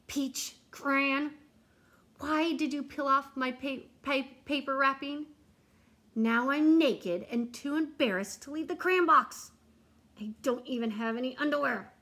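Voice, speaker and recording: A woman's voice: sits high, bright, forceful tone, full character voice. Woman doing an embarrassed, panicky peach crayon. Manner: distressed, pleading.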